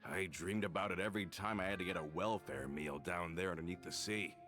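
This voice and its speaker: A deep, boisterous gang member. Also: male voice, a little fast, soft background music. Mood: jovial. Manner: loud, hearty and jovial. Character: rough-and-tumble male gang member, boisterous. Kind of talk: video game voice acting.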